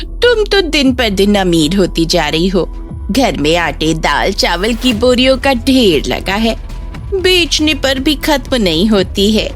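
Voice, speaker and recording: A woman's voice: medium pitch, sing-song, takes it slowly, faint music behind. A woman voicing a greedy, jealous neighbour woman in a children's moral story, with a sarcastic, melodramatic manner. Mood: sly, envious.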